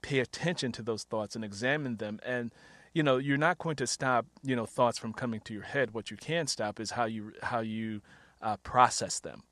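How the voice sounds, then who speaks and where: A man's voice: mid-range voice, moderate pace, a bit bright, no room sound. A middle-aged man hosting a casual interview, chatty and rambling, often repeating his phrases as he goes. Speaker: interviewer, podcast host.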